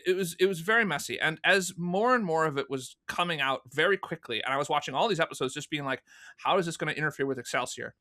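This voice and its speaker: A man's voice: a little high, bright, forceful tone. A man being interviewed as a guest, explaining at length in a conversational way and acting out remembered remarks as he goes. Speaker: guest, fan audio drama producer. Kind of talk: conversational interview answers, storytelling.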